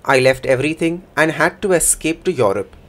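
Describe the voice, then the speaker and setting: A man voicing a first-person interpretation for a signing speaker in a plain, measured way. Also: a little high, animated.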